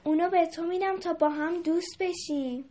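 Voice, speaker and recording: A woman voicing a sweet, gentle, lonely little doll in a children's storybook tale. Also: very high voice, voice pushed up. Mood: tender, affectionate.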